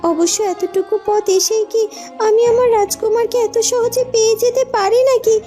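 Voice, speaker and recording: A woman's voice: very high voice, fairly even intonation, normal speed, dark tone, faint music behind. A woman voicing a weary, determined young woman in a fairy-tale cartoon, earnest and pleading. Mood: weary, worried.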